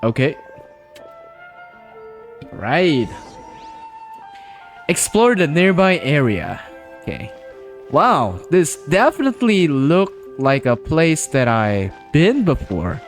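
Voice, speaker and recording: A chatty man streaming a game, talking casually to his viewers with a lively, swinging pitch. He reacts out loud as he plays, with game music running faintly underneath. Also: takes it slowly, pauses often. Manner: chatty, reacts aloud, addresses chat. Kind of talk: casual gaming commentary. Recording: game music playing behind.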